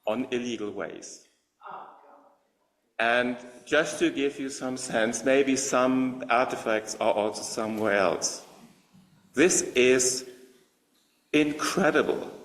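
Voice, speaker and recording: A man moderating a meeting, speaking in a rambling, admiring way with many fillers. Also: lowish voice. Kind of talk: conversational remarks to an audience.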